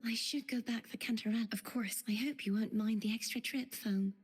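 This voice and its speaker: Game maiden: earnest, resolute, highly breathy and soft, slightly high. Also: female voice, moderate pace, faint music behind. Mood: pensive, resolute. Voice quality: very breathy, whispery. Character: earnest, resolute young maiden searching for truth. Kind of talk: dramatic video game voice acting.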